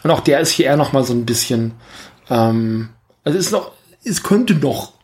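A man's voice: mid-range voice, lively intonation, moderate pace, darkish tone. A man co-hosting a film podcast, talking casually and searching for words as he reasons aloud.

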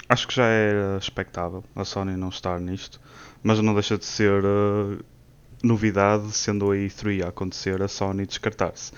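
Male co-host, low smooth voice, hesitant; dull lo-fi recording. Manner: thoughtful, hesitant with hums. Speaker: podcast co-host. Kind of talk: casual gaming podcast chat. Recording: lo-fi muffled mic.

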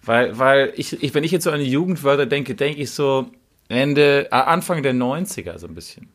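A middle-aged podcast co-host in casual chat, amused and quick to laugh. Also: medium pitch, expressive.

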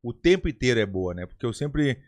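A man's voice: mid-range voice, big pitch swings, brisk. A man, a podcast host chatting casually, repeating his words and laughing often. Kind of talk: casual chat.

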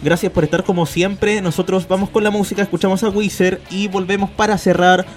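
A man's voice: high-pitched, fairly even intonation, fairly quick, faint music behind. A man with a Chilean Spanish accent hosting a radio show in a casual, chatty style.

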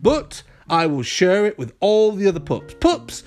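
Guy doing a little excitable boy puppy for a kids story, high voice, draws words out. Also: measured pace, soft background music. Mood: delighted. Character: young eager excitable cartoon puppy, male.

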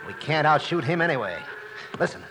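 An actor playing a scheming, menacing cattle rustler with a folksy cowboy drawl in a western radio drama. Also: male voice, a little high, animated, brisk, lightly acted. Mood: urgent, scheming. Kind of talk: 1950s western radio acting. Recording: noisy old radio recording.

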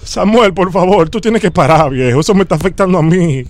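Male prank caller, Dominican accent, high voice, dramatic, persistent, imploring delivery. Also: lively intonation, fairly quick. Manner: insistent, pleading, dramatic. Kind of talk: phone prank, improvised pleading conversation.

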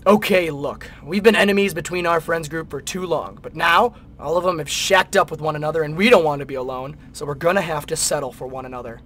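Man playing a high-voiced, petty, defensive frenemy. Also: fairly quick, few pauses, fairly bright tone, lightly acted. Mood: defensive, bickering. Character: petty, defensive, reluctant member of a friend group. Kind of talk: comedy sketch, radio play.

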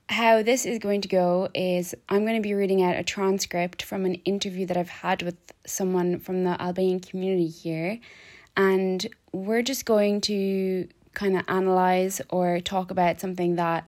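A woman's voice: mid-range voice, a little mellow. A young adult woman hosting a podcast, telling a story in a chatty way with lots of "like". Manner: chatty, lots of "like", laughs easily. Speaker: podcast host. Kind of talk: casual storytelling chat.

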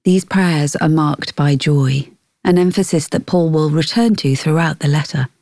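Low, mellow woman reading. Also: normal speed, no room sound, clean studio sound. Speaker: podcast host and devotional reader. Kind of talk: scripted devotional narration.

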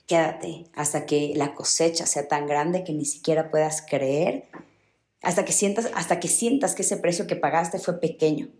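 A woman's voice: low-pitched, fairly even intonation, moderate pace, a little mellow, echoey room. A young woman from Ecuador giving an earnest motivational talk, repeating phrases for emphasis. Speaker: motivational speaker.

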